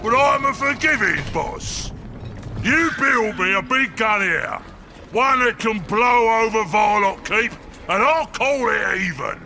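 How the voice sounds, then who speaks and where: A man's voice: high voice, lively intonation, takes it slowly, creaky, bright, forceful tone, in a large hall, slight background noise, exaggerated voice. A man voicing a boastful, dim-witted ork warboss with a bellowing, crude delivery for a video game cinematic. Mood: boastful, bossy.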